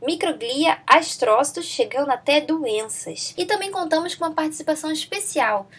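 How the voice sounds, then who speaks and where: A woman's voice: high-pitched, animated, normal speed, fairly bright tone. A Brazilian woman with a Brazilian Portuguese accent hosting a podcast, friendly and peppering her speech with tag questions. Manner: friendly, lots of "né" tags. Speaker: podcast host. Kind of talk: podcast intro and interview.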